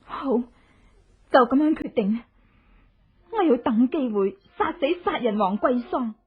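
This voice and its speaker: High-voiced, vengeful widow in hiding. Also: female voice, lively intonation, a little slow, slightly rough, heavily acted. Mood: resolute, vengeful. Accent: Hong Kong Cantonese. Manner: intense, melodramatic. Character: vengeful widow in hiding, determined, desperate, posing as beggar. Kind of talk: Cantonese horror radio drama acting. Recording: muffled lo-fi radio drama recording.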